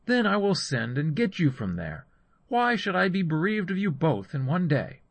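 A man's voice: on the high side, very animated, moderate pace, vocal fry, no room sound, pitched above the performer's own voice, full character voice. A man voicing an anxious, earnest mother in a scripture story. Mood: urgent, worried. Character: anxious mother urgently counselling her younger son.

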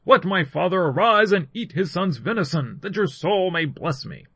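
A man's voice: high voice, expressive, normal speed, fairly bright tone, husky, no room sound, pitched above the performer's own voice, heavily acted. A man voicing a wronged elder son, a bitter hunter pleading impassionedly in a scripture story. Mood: eager.

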